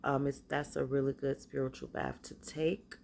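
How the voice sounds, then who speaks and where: A woman with a stuffy cold, casually showing off the spiritual bath and body products she makes, talking in a halting, hesitant way. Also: rumbling low, flat delivery, creaky, a little mellow, slight background noise.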